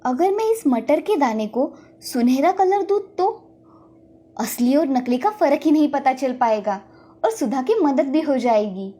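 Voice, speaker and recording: A woman voicing a kind, helpful village wife, gentle and earnest, in a dramatised children's moral story. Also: high-pitched, big pitch swings, a little slow. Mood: caring.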